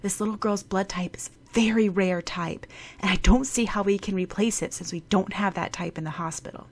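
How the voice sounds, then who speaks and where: A woman plays a weary hospital doctor in a storybook reading. The tone is firm and a little condescending, and her voice is gravelly. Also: medium pitch, brisk. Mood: worried.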